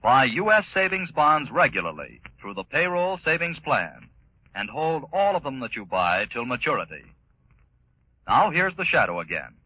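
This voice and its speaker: A man announcing on 1940s radio, reading a scripted pitch in a formal, emphatic way. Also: sing-song, normal speed, slight background noise. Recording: muffled 1940s radio recording.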